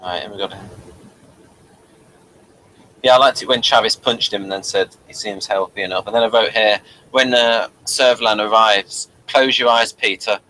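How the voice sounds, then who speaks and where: A British man hosting a casual review chat, moving haltingly from one point to the next. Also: lowish voice, steady tone, sharp tone, a little hiss or hum, low-quality recording. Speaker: host of a TV review show.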